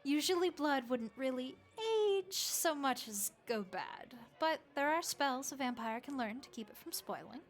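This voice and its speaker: A woman voicing a friendly, motherly vampire innkeeper who speaks gently and reassuringly to the listener in an audio roleplay. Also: high voice, animated, a little slow, few pauses, soft background music. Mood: hospitable, chatty.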